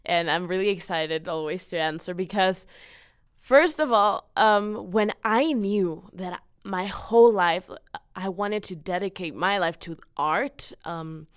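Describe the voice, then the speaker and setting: A woman's voice: fairly low pitch, measured pace, smooth, no room sound, studio quality. A woman in her late teens from Mexico, giving enthusiastic, earnest, casual answers as an interview guest. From Cancun, Mexico. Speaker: podcast guest, student singer-songwriter.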